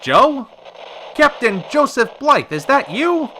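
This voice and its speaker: Man playing a bright, showy old-time radio host. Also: extremely high pitch, big pitch swings, slow, a little hiss or hum, heavily acted. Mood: excited. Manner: folksy, theatrical patter. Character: genial, showy male radio show host.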